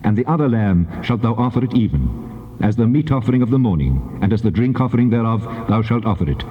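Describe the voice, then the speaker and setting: An actor playing a solemn, commanding God in a dramatized scripture recording, speaking in a measured, authoritative declamation. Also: male voice, fairly low pitch, animated, lightly acted. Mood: solemn, instructing. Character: the Lord God, solemn commanding male deity. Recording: dull tape, long cavernous reverb.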